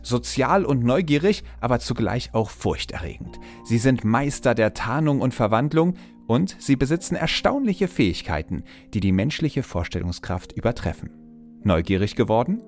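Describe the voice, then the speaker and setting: A man reading a sponsored segment in a lively promotional manner. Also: mid-range voice. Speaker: ad reader. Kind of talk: read advertorial.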